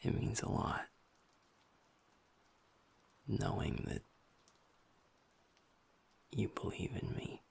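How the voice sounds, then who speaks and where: A man voicing a happy-go-lucky young male werewolf boyfriend in an intimate audio roleplay, talking softly and earnestly to his partner. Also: drawn-out words, pauses often, a little hiss or hum. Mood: tender, affectionate. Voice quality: soft, breathy, half-whispered. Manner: earnest, affectionate, talks to the listener as partner.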